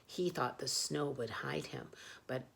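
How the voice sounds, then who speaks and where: Perempuan bersuara rendah, pendongeng anak bertempo lambat. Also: female voice, extremely low pitch, a little slow, gravelly, fairly bright tone, husky. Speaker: children's storyteller. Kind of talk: expressive picture-book read-aloud.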